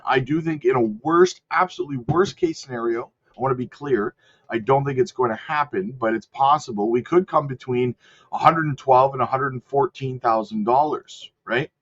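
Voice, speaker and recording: A man's voice: medium pitch, fairly bright tone. Man with a cold, chatty. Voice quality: congested, throat-clearing. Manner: rattles off lists, chatty and hedging. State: sick with a cold. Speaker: crypto channel host. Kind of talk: casual market commentary over charts. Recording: slightly dull lo-fi audio.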